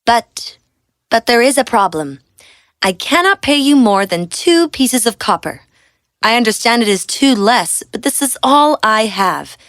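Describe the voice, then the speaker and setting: A woman's voice: on the high side, big pitch swings, unhurried, a bit bright, dry recording, studio quality, heavily acted. A woman voicing a poor village customer in a storybook tale, pleading and apologetic. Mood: apologetic, worried.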